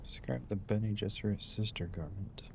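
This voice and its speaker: A man's voice: fairly low pitch, normal speed, creaky, darkish tone, a little hiss or hum. A man doing casual live game commentary, muttering short, fragmented remarks to himself as he plays. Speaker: game streamer.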